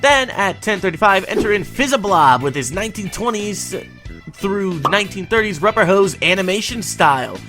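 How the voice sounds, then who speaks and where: A woman's voice: mid-range voice, animated, moderate pace, over music. A woman co-hosting a fan recap, giving semi-scripted episode commentary in an emphatic, theatrical way.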